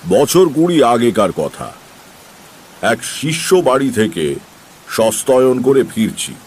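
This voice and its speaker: An actor playing a seasoned tantric sage who tells eerie true tales in a measured, unhurried way for an audio drama. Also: male voice, mid-range voice, big pitch swings, darkish tone, in a large hall. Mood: grave, reflective. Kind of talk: dramatised audio story narration. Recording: faint background noise.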